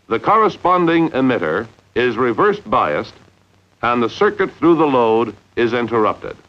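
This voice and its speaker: Dull vintage film recording, expressive male narrator. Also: medium pitch. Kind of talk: scripted instructional narration, 1950s training film. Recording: dull, lo-fi 1950s film soundtrack.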